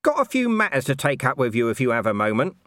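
A man's voice: medium pitch, wildly swooping pitch, fast, fairly bright tone, dry recording, clean studio sound, voice pushed up. A man voicing a scruffy, cheeky corporal who speaks with cocky, offhand smugness. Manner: cocky, offhand, self-satisfied. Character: scruffy, cheeky male corporal, smug union agitator. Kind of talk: audiobook character voice.

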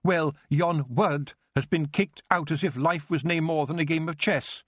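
A blunt, gruff Scottish clan chief, small and fierce, as a man puts him on, pitched high and pushed loud. He talks in broad, hard dialect. The sound is close and dry. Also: a little slow, no room sound. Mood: stern.

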